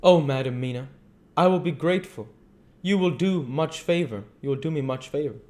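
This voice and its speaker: A man's voice: a little high, brisk, a little mellow, pitched above the performer's own voice, natural voice. A man voicing a courtly, effusively polite older foreign doctor in an audiobook, with earnest, stilted phrasing. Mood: grateful, effusive.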